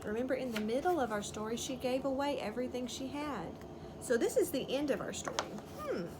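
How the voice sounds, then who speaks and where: A woman's voice: high-pitched, a little fast, noisy background. A woman reading a story aloud to young children as a teacher would, explaining and asking simple questions.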